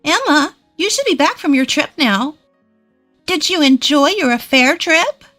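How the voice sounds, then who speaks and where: Casting: woman performing spiteful older mother-in-law for a narrated family drama, exaggerated, shrill-high register, music underneath. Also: animated, unhurried, fairly bright tone. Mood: smug.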